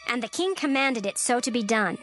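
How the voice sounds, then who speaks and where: A woman reads scripture in a steady, formal way, pacing every phrase carefully. Her voice sits slightly high, and clear music runs underneath throughout. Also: fairly quick. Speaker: narrator.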